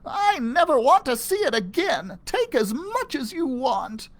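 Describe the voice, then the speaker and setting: A man's voice: extremely high pitch, sing-song, measured pace, voice pushed up, full character voice. A man voicing an old woman, a former pirate who was cursed into a snake, in a storybook read-aloud. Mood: sly.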